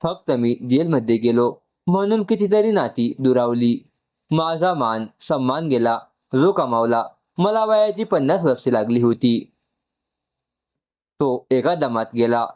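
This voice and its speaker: A man's voice: medium pitch, lively intonation, unhurried, lo-fi sound, lightly acted. A man voicing a dejected, tender father in his fifties in an audio storybook. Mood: sorrowful.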